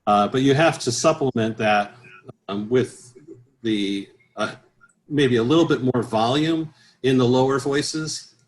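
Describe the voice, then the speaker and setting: A man speaking as an interview guest, explaining things conversationally as a choral professional, with halting, repeated phrases. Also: medium pitch, poor audio. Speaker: interview guest, professional bass singer and choir director.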